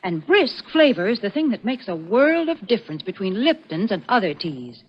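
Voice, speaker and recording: A woman's voice: mid-range voice, sing-song, normal speed, breathy edge. A woman playing a cheery, wholesome spokeswoman, persuasive and neatly spoken, in the style of a 1940s radio commercial. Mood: cheerful selling. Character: cheery, wholesome female tea saleswoman sparring with host. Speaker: sponsor's spokeswoman. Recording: muffled vintage 1940s radio broadcast.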